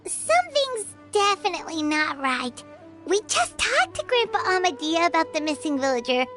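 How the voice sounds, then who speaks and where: A tiny chirpy game companion, very high and bouncy, music under it. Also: female voice, very animated. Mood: puzzled. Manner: bubbly, exclamatory, quick to jump to conclusions. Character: small floating female companion creature, chirpy and excitable. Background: game background music.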